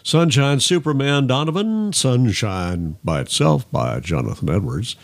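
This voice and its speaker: A man's voice: medium pitch, sing-song, measured pace, creaky. An older man co-hosting a music show, chatting casually with wry, teasing asides and reminiscences. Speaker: radio show co-host, former disc jockey. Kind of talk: casual chat about old songs.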